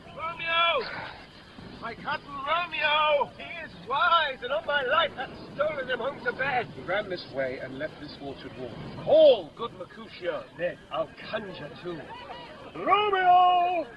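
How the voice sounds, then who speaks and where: High-pitched, mocking young nobleman, calling out. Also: big pitch swings, measured pace, sharp tone, heavily acted. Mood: mocking, excited. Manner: theatrical, declamatory. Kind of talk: 1950s radio Shakespeare acting. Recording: dull noisy old radio recording, crowded background.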